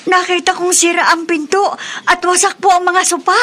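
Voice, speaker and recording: High-pitched, panicky housemaid. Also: female voice, level tone, brisk, bright, forceful tone. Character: panicky, deferential female household maid. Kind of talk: radio drama acting.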